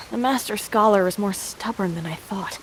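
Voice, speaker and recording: A woman's voice: medium pitch, moderate pace, slight background noise, natural voice, poor audio. An actress playing a determined, courteous woman in a video game, speaking earnestly and politely. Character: determined, courteous woman rallying her companions. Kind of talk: video game cutscene voice acting.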